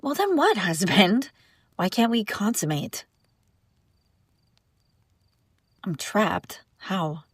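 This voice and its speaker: A woman's voice: medium pitch, big pitch swings, takes it slowly, slightly rough, no echo, full character voice. Haughty lovesick young princess, acted by a woman, close and dry. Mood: frustrated, wounded. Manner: teasing, indignant, sighing, breaking off mid-sentence. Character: haughty young princess bride, sharp-tongued, secretly lovesick. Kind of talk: intimate scripted audio roleplay addressed to listener.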